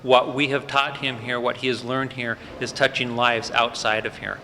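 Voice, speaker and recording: A man at a fire department ceremony, introducing each graduate with loose, unscripted remarks and plenty of "uh"s. His voice is bright and forceful. It rings through a large hall with long reverb and faint ambient noise. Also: medium pitch, level tone, moderate pace. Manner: warm off-the-cuff remarks with frequent fillers. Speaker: fire department EMS supervisor presenting cadets.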